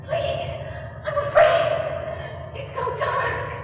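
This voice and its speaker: An actress playing a frightened young wife, pleading breathlessly and desperately in a 1950s radio suspense drama. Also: female voice, slow, highs cut off, close to an everyday voice. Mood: terrified pleading. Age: young adult. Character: frightened, pleading young wife trapped in her own nightmare. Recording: echoey reverberant 1950s radio recording.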